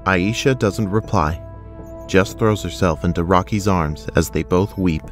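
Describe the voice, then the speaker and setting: A man's voice: low voice, a little slow, over music. A man narrating an action audio drama in a sober, scripted way.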